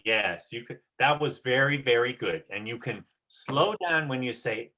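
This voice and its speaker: A man's voice: medium pitch, animated, moderate pace, pushed and bright. A man giving casual, encouraging instruction as a teacher directing a play rehearsal.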